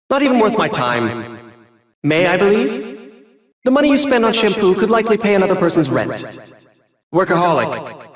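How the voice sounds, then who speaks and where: An actor playing a haughty, eccentric young genius villain in a video game, with a light youthful voice and precise, wordy, theatrical diction. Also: male voice, high-pitched, roomy sound. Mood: cool disdain. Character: small, prim, eccentric genius villain, smug and condescending.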